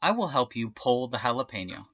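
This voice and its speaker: A caring, practical farmer's wife from a children's storybook, as a male reader plays her. She speaks emphatically in a bright voice. The recording is dry and slightly muffled. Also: mid-range voice, big pitch swings, a little fast, breathy edge, no room sound, exaggerated voice. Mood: practical, calling out.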